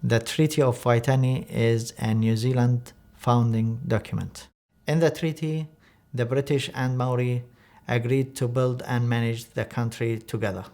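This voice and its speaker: A man from Iraq reads lines about the law and the country's history. His voice is mellow and rather dark. His delivery is measured and serious. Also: mid-range voice, steady tone. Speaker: refugee speaker in an information video. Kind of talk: scripted narration.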